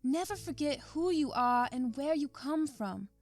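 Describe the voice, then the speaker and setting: High-voiced, tender grandmother played by a woman. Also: animated, unhurried, a little mellow. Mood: tender, earnest. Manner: tender, earnest, reassuring. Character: gentle elderly grandmother who runs a farm. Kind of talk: visual novel voice acting.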